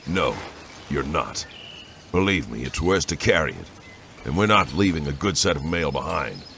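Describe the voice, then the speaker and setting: A man's voice: very deep voice, animated, moderate pace, vocal fry, a bit bright, a little hiss or hum, heavily acted. An actor playing a middle-aged soldier and father in an audiobook drama, blunt and commanding but loving. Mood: stern. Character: gruff older soldier father, stern but loving husband.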